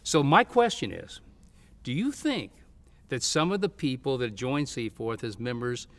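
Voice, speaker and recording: A man's voice: mid-range voice, sing-song, unhurried, a bit bright, long reverb tail. A man interviewing a guest in a chatty, casual way, with frequent fillers and agreement sounds. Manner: chatty, laughs often, many fillers.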